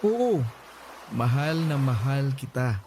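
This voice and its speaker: A man's voice: medium pitch, sing-song, slow pace, background noise. A man playing a guilty, affectionate husband in a Filipino radio drama, hesitant, stammering and pleading. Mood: earnest, affectionate.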